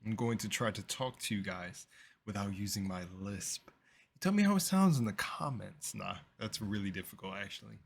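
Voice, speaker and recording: A young man with a slight lisp talks through a reaction video in a rambling, filler-packed way. His voice sits fairly low, and it swings from loud bursts down to half-mumbled asides. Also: expressive, moderate pace, creaky, husky. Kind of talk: casual rambling reaction commentary.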